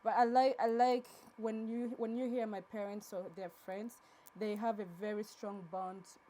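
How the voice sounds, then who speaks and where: A woman in her thirties from Uganda, a guest on an interview, telling her story in a relaxed, soft-spoken way. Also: fairly high pitch, moderate pace. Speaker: interview guest, charity co-founder. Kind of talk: casual interview.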